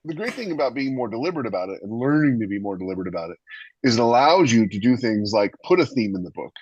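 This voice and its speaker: Husky guy, kinda deep, opinionated podcast host talking casually, a bit muffled. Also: sing-song, fast, darkish tone. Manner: emphatic, opinionated, explanatory. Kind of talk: casual writing discussion. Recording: roomy, slightly dull lo-fi microphone.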